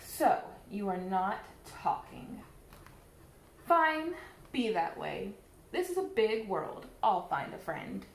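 A woman voicing a lonely, earnest little male fish in a picture book read-aloud, slow and expressive with exaggerated exclamations. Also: medium pitch, vocal fry, reverberant, slight background noise, full character voice. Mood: lonely, hopeful.

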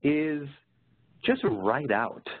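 A man's voice: a little high, sing-song, extremely slow, breathy edge. A man presenting as a career coach, answering webinar questions in an explanatory way, often checking in as he goes. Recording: muffled phone-like line in echoey room.

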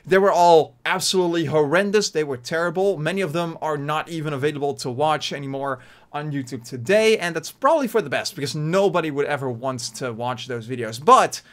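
A man's voice: fairly high pitch, fairly quick. Rambling Dutch-accented young man vlogging. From Netherlands. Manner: rambling, self-deprecating, lots of "uh" fillers and restarts; animated hand gestures while talking. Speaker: YouTuber. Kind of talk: casual vlog talk to webcam. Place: small bedroom, indoors. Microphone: desk mic in front of webcam.

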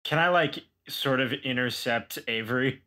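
A man's voice: mid-range voice, normal speed, pushed and bright. A man playing a tabletop game, casually improvising what his character does. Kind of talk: casual improvised roleplay narration.

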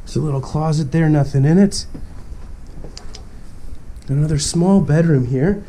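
A young man with a congested, stuffy voice gives a cheerful walking tour, talking straight to his viewers as he moves through empty rooms. His voice sits a little high but sounds soft and mellow, picked up on a handheld action camera. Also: unhurried, a little hiss or hum. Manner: upbeat, keeps addressing viewers as guys. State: stuffy from a cold. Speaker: urban explorer vlog host. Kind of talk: casual walkthrough narration. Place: empty abandoned mansion, indoors.

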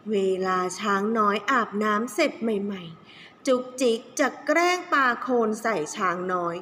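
Woman storyteller for young children, very lively intonation, drawn-out emphasis, echoey room, faint noise. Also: mid-range voice. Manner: expressive, drawn-out emphasis on key words. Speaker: kindergarten teacher telling stories.

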